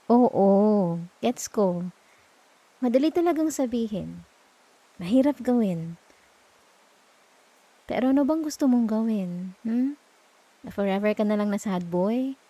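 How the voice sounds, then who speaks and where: Slow, gentle Filipino girlfriend voiced by a woman. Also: fairly high pitch, lively intonation, dry recording, low-quality recording. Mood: tender coaxing. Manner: soft, tender, coaxing, with murmured hums. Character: gentle, reassuring young girlfriend comforting her partner at night. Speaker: ASMR roleplay performer. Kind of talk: intimate ASMR roleplay, Taglish. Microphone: close microphone, dry.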